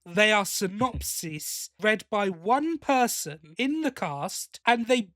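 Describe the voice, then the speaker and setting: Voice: medium pitch, lively intonation, takes it slowly, fairly bright tone, no room sound, studio quality. A podcast host in casual review chat, opinionated and emphatic in complaint.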